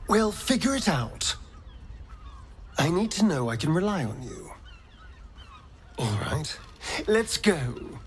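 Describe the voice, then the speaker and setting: A man's voice: on the high side, big pitch swings, takes it slowly, pauses often, husky, heavily acted. A man playing a theatrical, scornful vampire in a video game. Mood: flippant, testing. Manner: theatrical, sardonic, drawling. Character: theatrical, scornful, vengeful male vampire spawn.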